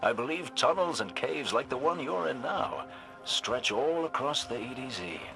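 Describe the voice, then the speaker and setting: An actor playing a courteous, wry older militia sniper with a British accent, speaking in droll, old-fashioned phrases for a video game. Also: male voice, mid-range voice, animated, moderate pace, gravelly, over music, rough recording. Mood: calm, helpful. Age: middle-aged. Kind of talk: video game character acting.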